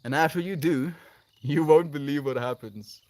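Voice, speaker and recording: Expressive man in a dry recording. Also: fairly high pitch, moderate pace, no echo. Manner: effusive, affectionate, calling listeners "friend". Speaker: live streamer, host. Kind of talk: casual livestream chat, responding to viewer comments.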